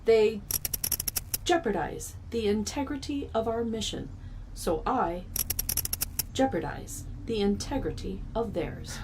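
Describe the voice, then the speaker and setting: A woman's voice: mid-range voice, animated, takes it slowly, long pauses. A woman voicing a malfunctioning robot assistant in a sci-fi audio drama, with formal, stuttering, machine-like delivery. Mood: glitching, detached. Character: glitching female robot assistant, clipped and malfunctioning. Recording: clearly noisy audio with clicks.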